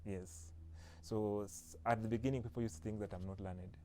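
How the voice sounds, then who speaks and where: Kenyan male author guest, low voice, halting casual delivery. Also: fairly even intonation, a little mellow, slight background noise. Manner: hesitant, restarts often, laughs lightly. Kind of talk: casual interview.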